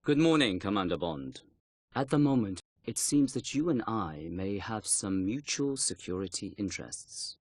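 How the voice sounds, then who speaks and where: Low-voiced, formal military intelligence colonel. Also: male voice, sing-song, a little mellow, dry recording, clean studio sound. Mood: cryptic, guarded. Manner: formal, deliberate, cryptic. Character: measured, guarded male Chinese military intelligence colonel. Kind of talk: game cutscene acting.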